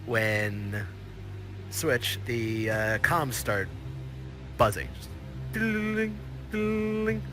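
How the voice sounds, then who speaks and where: A man's voice: medium pitch, lively intonation, extremely slow, pauses often, over music, low-quality recording. A man narrating a tabletop game as game master, casual and meandering with many restarts.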